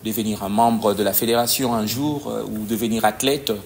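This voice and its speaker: A man, a judo coach answering interview questions in a halting way, often pausing to search for words. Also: fairly low pitch, fairly even intonation, gravelly, slight background noise. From DR Congo.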